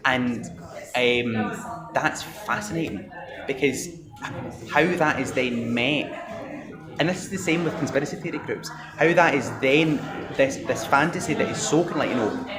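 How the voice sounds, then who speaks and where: Rambling man in a loud crowd. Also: medium pitch, normal speed, rough recording. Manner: rambling, laughing, apologetic. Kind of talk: casual conversation.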